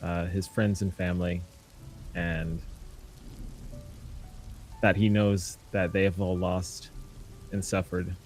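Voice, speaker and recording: A man player describing his character's actions in a tabletop game, halting with fillers. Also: deep voice, gravelly, soft background music.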